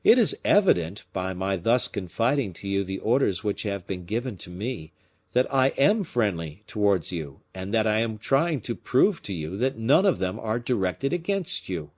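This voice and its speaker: A man playing a shrewd, lively musketeer captain. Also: fairly low pitch. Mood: earnest, hinting. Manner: measured, pointed, formally polite. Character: shrewd, courteous musketeer captain hinting slyly, male. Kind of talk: audiobook character acting.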